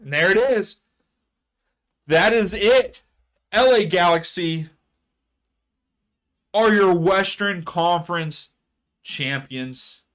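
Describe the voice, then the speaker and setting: A man hosting a live soccer watchalong stream, chatting casually with viewers and ranting about fouls. His voice is going hoarse. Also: sits high, lively intonation, slow, long pauses, fairly bright tone. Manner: chatty, reads chat aloud, rants about fouls. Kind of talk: casual live match commentary.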